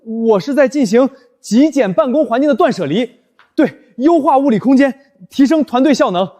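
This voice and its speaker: An actor in his twenties playing a flustered, glib young office worker in an animated short drama, over-explaining in corporate buzzwords. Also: very high-pitched, normal speed. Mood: glib, defensive. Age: 20s.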